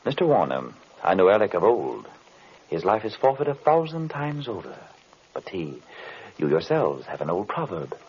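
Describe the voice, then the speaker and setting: Grave, low-voiced foreign investigator. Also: male voice, very animated, a little mellow, breathy edge, full character voice. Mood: calm, grave. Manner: measured, formal, slightly stilted phrasing. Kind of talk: 1930s-40s radio horror drama acting. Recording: muffled, noisy old radio recording.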